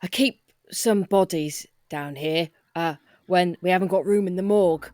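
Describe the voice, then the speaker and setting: A woman's voice: lowish voice, moderate pace, darkish tone, no room sound, studio quality, lightly acted. A woman playing a deferential hospital assistant in a comic roleplay, matter-of-fact, polite and a little hesitant.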